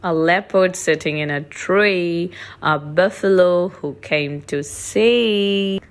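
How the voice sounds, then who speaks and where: Very slow-paced woman reading to young children. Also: lowish voice. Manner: deliberate, pausing between short phrases. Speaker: host reading children's books aloud. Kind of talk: read-aloud for preschool children.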